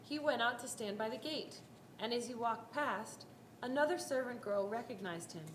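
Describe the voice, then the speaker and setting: A woman's voice: fairly high pitch, normal speed. A woman narrating a scripted dramatic reading of a Bible story. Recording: roomy echo, faint background noise.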